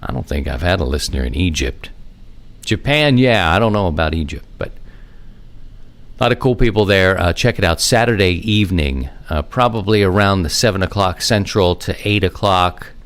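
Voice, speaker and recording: A middle-aged man hosting a hobby podcast alone, talking in a casual, rambling, conversational way with animated asides. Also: low-pitched, moderate pace. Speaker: amateur radio podcast host. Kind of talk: casual solo podcast monologue.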